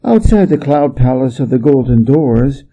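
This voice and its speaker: A man narrates a story from a script. His voice is middle in pitch and very mellow, with a husky grain. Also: very animated, moderate pace, low-quality recording. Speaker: story reader.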